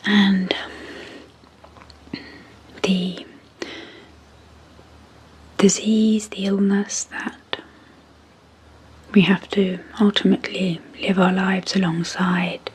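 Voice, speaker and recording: A woman speaking softly and breathily in a gentle, measured ASMR sleep hypnosis style, leaving long pauses between short phrases. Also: medium pitch, flat delivery, a little hiss or hum. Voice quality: soft, breathy, near-whispered. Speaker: psychologist hosting an ASMR channel. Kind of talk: soft-spoken ASMR sleep hypnosis introduction.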